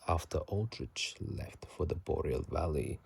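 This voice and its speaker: A man speaking in a soft ASMR whisper and murmur, casual and halting, recorded on a phone microphone. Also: a bass voice, vocal fry, husky. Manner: halting, casual, self-correcting. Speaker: channel host. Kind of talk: whispered ASMR game commentary. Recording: faint noise, phone microphone.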